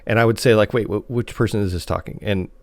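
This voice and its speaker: A man's voice: fairly low pitch, fairly even intonation, fast, dry recording. Casual male podcast co-host, close dry sound. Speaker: podcast co-host reviewing post-apocalyptic media. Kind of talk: casual review chat.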